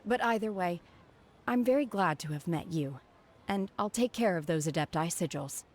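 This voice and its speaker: An actress playing a reserved, earnest young woman in a video game, speaking calmly and formally. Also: on the low side, moderate pace, close to an everyday voice.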